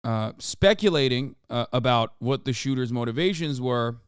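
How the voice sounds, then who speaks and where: A man's voice: mid-range voice, animated, moderate pace, clean tone, dry recording, studio quality. A man whose first language is Turkish, hosting a casual live-stream news commentary with profane, sarcastic rants. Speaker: streamer host.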